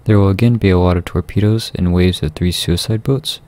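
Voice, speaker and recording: A man reading a scripted video game guide in a measured, instructional way. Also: sits low, fairly even intonation, warm tone. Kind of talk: scripted guide narration over gameplay.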